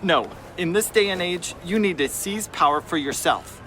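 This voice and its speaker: Man playing a brash, bright-voiced young runaway. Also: high voice, lively intonation, moderate pace, slight background noise. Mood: scheming, determined. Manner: brash, dismissive. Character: cynical, ambitious young runaway slave boy. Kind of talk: family audio drama.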